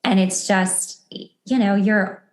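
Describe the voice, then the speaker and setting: A woman's voice: mid-range voice, steady tone, takes it slowly, a little mellow. A woman hosting a podcast, talking in an informal, chatty way about personal things in casual conversation. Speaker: podcast host. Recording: roomy, echoey sound.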